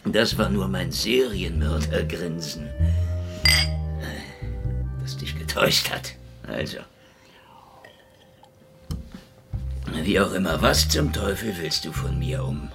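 An actor playing a grumpy old hard-drinking private detective in a hardboiled radio drama, sardonic and world-weary. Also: male voice, deep voice, a little slow, music in the background. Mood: sardonic, dismissive. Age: older. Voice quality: gravelly. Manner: sardonic, grumbling, drawling. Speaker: lead actor and first-person narrator.

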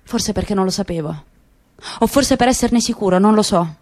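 A woman voicing a calm, ironic middle-aged man in a radio drama reading, speaking quietly and with measure. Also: medium pitch, moderate pace, lightly acted. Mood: measured.

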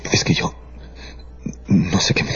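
A man playing a timid, flustered aging count with halting speech in a radio drama. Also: pushed and bright, in a whisper. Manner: halting, stammering. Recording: dull lo-fi radio drama with music.

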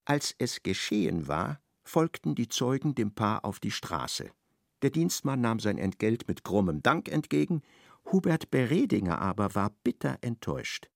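A man narrates a literary radio play with ironic expressiveness. His mid-pitched voice is gravelly and highly animated, recorded dry and close. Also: moderate pace, dry recording.

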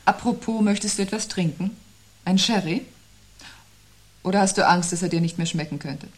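A female actor voices a sceptical wife in a seventies radio play. Her voice is mid-pitched, and she delivers with dry irony and a pointed, sardonic edge. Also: moderate pace, close to an everyday voice. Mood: cool, pointed. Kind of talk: 1970s radio drama acting.

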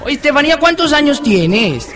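Man host of a kids' call-in show, high voice, gushing and doting with children. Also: big pitch swings, moderate pace, faint music behind. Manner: warm, doting, exclamatory talk to children.

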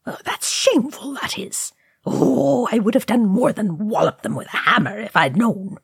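A woman's voice: low-pitched, sing-song, normal speed, fairly bright tone, husky, no echo, clean studio sound, exaggerated voice. A woman voicing an indignant, bookish male dwarf ghost, fussy and scolding, in a storybook reading.

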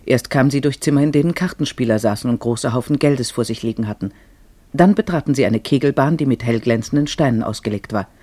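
Husky male radio-play narrator, very dry sound. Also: medium pitch, fast talker, dark tone, dry recording. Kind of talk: scripted literary narration for radio drama.

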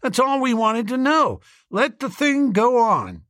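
A man's voice: sits high, big pitch swings, moderate pace, fairly bright tone, dry recording, clean studio sound, pitched above the performer's own voice, exaggerated voice. A man voicing a businesslike American small-town booster, formal, wordy and emphatic. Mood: hearty.

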